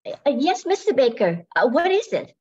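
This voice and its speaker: A woman's voice: high-pitched, big pitch swings, normal speed, darkish tone, husky, muffled recording, full character voice. A woman playing a plucky, polite young expectant mother seeking work in an old-time radio drama, earnest and formal. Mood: proud, cool. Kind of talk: 1940s radio drama acting.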